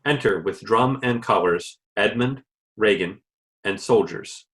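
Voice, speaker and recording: A man's voice: on the low side, a little slow, fairly bright tone. A man reading lines and directions in a readers' theatre production of Shakespeare.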